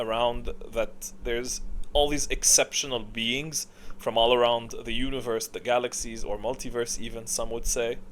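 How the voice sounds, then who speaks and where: A man's voice: medium pitch, fairly bright tone, a little hiss or hum. A man giving casual, unscripted gaming tier-list commentary in a rambling, opinionated way. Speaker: video game content creator.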